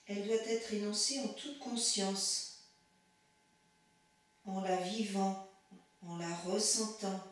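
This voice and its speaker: A woman leads a guided relaxation in a calm, measured manner. She speaks slowly, in short phrases. The recording is very echoey, with the microphone some distance from her. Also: medium pitch. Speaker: yoga nidra instructor.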